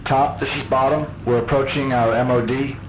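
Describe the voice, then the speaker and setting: Focused pilot on radio: medium pitch, procedural, loud vehicle noise, echo. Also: male voice, steady tone, moderate pace. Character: businesslike male mini-sub pilot reporting to the surface. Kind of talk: audio drama acting.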